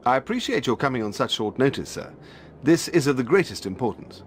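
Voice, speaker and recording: An actor playing a stuffy gentleman with hidden menace in a video game, formal and furtive, with a creaky voice. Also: medium pitch, very animated, fairly quick, a little hiss or hum, natural voice. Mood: nervous, secretive. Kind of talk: video game cutscene acting.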